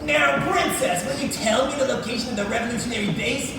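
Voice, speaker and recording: A man's voice: very high-pitched, fast talker, gravelly. A man playing a menacing, irritable and pompous space villain commander in live readers theatre. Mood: smugly menacing. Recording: echoey hall from far off, noisy.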